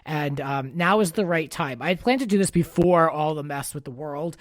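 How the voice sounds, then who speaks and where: A man's voice: fairly high pitch, normal speed, no room sound. Chatty man with a dry, close sound. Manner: chatty, opinionated, frequent filler words. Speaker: podcast host. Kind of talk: casual pop culture chat.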